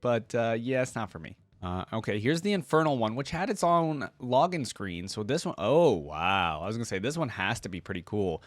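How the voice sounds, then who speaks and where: A man's voice: mid-range voice, big pitch swings, normal speed, hardly any pauses, no echo, clean studio sound, faint music behind. A man giving casual, off-the-cuff reaction commentary, informal and opinionated as he thinks aloud. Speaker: YouTube reaction host.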